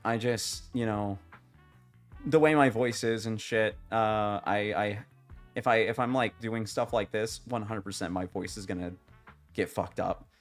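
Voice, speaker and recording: A young man streaming a game, chatting casually with viewers in a rambling, halting way, his voice slightly raspy. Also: lowish voice, a bit bright. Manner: rambling, halting, many restarts and fillers, swears casually.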